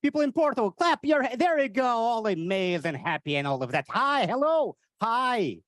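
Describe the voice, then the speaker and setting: Animated Portuguese man doing stand-up. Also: extremely high pitch, normal speed, no echo, clean studio sound. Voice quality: odd, cartoonish voice. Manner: energetic, teasing banter with the audience. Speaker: stand-up comedian. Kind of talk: live stand-up with crowd work. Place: on stage at a live event.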